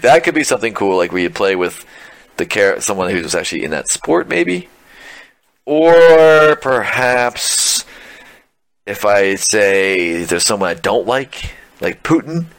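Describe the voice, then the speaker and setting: A man hosting a casual gaming podcast, wandering from thought to thought and laughing at his own jokes. His voice sits a little low. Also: animated, normal speed, a bit bright, no room sound. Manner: rambling, laughs often, self-deprecating. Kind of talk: casual chat.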